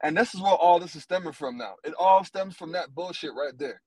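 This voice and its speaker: A man in his thirties talks in a casual podcast chat, blunt and animated, with plenty of cursing. His voice sits on the higher side for a man. The sound is dry and close to the mic. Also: brisk, breathy edge, dry recording, low-quality recording. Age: 30s. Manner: animated, blunt, profane storytelling. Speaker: podcast co-host.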